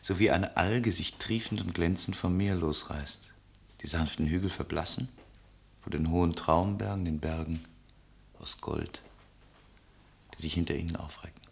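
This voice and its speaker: A dreamy male traveller tells his story in a deep, low voice. His delivery is lyrical and measured, in the manner of a literary radio play. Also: vocal fry. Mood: lyrical, hushed. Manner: poetic, measured recitation.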